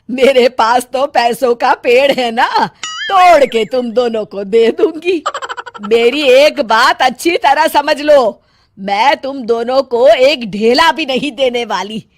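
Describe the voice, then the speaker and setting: A woman's voice: a little high, lively intonation, slow pace. A woman voicing a greedy, hot-tempered elderly mother-in-law who scolds and bosses in a comedy cartoon. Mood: sarcastic scolding. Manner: scolding, sarcastic, domineering. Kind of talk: Hindi family comedy cartoon.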